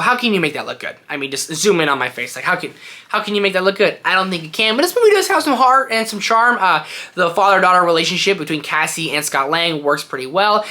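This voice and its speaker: A man's voice: high-pitched, sharp tone. A man hosting a film review in a casual, opinionated style, rambling informally with emphatic points. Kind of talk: casual opinionated movie ranking to camera.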